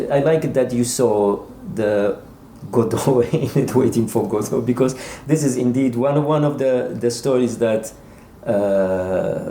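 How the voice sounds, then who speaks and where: A man speaking as an author in a literary interview, thoughtful and halting, often repeating words as he searches for the next phrase. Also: mid-range voice, creaky, warm tone, slight background noise. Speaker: author interviewed about his novel.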